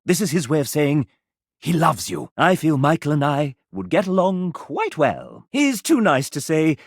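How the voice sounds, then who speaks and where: A suave, pompous game-show host for a video game, with a fairly high voice that swoops dramatically from line to line. He is formal and droll, fussing like a deferential butler, in a dry, close studio sound. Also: no room sound, exaggerated voice. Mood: droll, arch. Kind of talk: theatrical scripted game show presenting.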